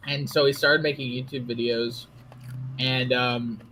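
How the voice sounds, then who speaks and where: Mid-pitched man teasing his co-host. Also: fairly even intonation, unhurried, pushed and bright, slight background noise, poor audio. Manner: teasing, playful insults. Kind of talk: casual banter.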